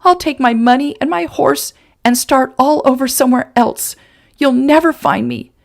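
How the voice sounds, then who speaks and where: A woman's voice: moderate pace, vocal fry. A woman doing a fiery, defiant young woman. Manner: high, indignant, sneering.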